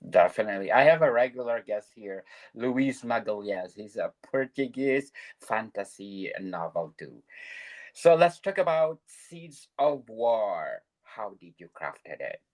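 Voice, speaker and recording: A man's voice: lowish voice, animated, a little slow, poor audio. A man from Canada hosting a podcast interview, eager and loose with his grammar. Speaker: podcast host.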